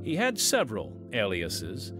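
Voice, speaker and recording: Animated male narrator over music. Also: fairly low pitch, measured pace. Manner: theatrical, emphatic. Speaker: podcast host and narrator. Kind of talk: scripted dramatic narration.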